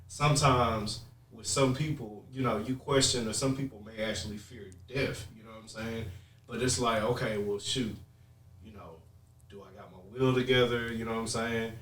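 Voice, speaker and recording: A man's voice: medium pitch, fairly even intonation, moderate pace, a bit bright, far from the mic. A man hosting a conversation, speaking colloquially and reflectively, often checking that he is understood. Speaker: podcast host. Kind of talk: casual conversation. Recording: roomy echo, off mic.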